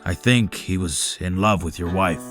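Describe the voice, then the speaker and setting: A man's voice: deep voice, moderate pace, music in the background, lightly acted. A man playing a frank, world-weary old man, the elder of a survivor camp, in a dramatic comic dub. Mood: resigned.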